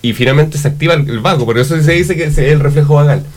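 A man's voice: on the high side, fast. A man with a Chilean accent hosting a casual, reflective discussion, thinking aloud and rephrasing as he goes. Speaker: talk show host.

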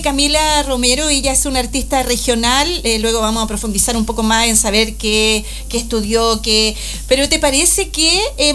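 A woman radio host, chatty and expressive as she leads an interview. Her voice is high. Faint music sits underneath the talk.

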